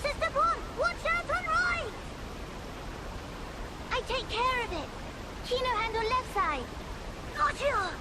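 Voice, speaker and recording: A woman's voice: extremely high pitch, expressive, slow, pauses often, full character voice. A woman voicing a small, cute, eager childlike creature in a video game, speaking in broken grammar. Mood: battle bravado. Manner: broken grammar, third-person speech. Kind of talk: video game cutscene acting.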